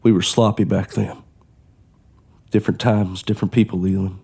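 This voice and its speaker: A man's voice: fairly low pitch, flat delivery, normal speed, gravelly, warm tone, husky. A man playing a bitter, desperate ex-convict father who talks in terse, blunt lines in an audio drama. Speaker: former criminal and father. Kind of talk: amateur audio drama acting.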